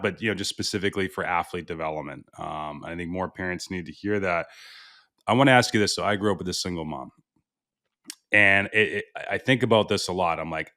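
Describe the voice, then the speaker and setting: Deep-voiced podcast host, eager. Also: male voice, a bit bright. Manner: enthusiastic, filler-heavy questions. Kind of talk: casual interview chat.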